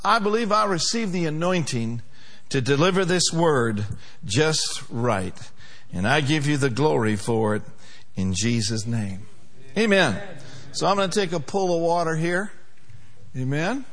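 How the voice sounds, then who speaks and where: A man preaching a sermon as pastor, his voice pitched high and ringing bright. He drives his points with emphasis and calls on the congregation to answer back. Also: expressive, unhurried. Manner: emphatic preaching with call-and-response.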